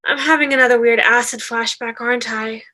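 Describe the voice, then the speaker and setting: A woman's voice: a little high, fairly even intonation, fairly quick, sharp tone. A woman playing a spacey, dreamy hippie ghost in a table read. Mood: dazed wonder.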